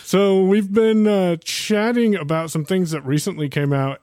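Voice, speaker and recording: A man's voice: on the high side, warm tone, dry recording, studio quality. A man filling in as guest host on a tech podcast, easygoing and self-deprecating in casual chat.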